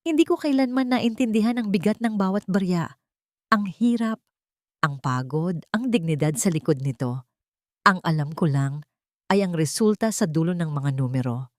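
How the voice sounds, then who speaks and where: A woman's voice: fairly low pitch, expressive, normal speed, dry recording, close to an everyday voice. A humbled rich businessman in love , read by a woman storyteller, speaks with earnest feeling. The delivery sits in a dry, close audiobook-style recording. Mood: humbled, admiring. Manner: earnest, soft-spoken admiration.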